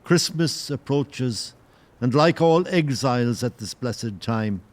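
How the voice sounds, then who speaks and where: A man as a very slow, solemn storyteller. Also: mid-range voice, lively intonation. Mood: grave. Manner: measured, literary, grave. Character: solemn storyteller narrator of old sea tale. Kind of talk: scripted dramatic narration.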